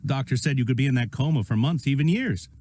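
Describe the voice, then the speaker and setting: A man's voice: medium pitch, fast talker, a little mellow, no echo, lightly acted. A man playing an evasive, deferential deputy police chief in a video game, hesitant and uneasy. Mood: surprised, cautious. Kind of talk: dramatic video game voice acting. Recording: faint background ambient noise.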